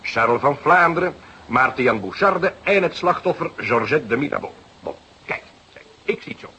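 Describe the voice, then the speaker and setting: An eager junior detective in a radio play. His mid-pitched voice swings widely as he explains with emphatic, slightly grand confidence. There is some noise on the recording. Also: animated, moderate pace, pushed and bright. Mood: confident, theorising. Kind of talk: Dutch detective radio drama acting.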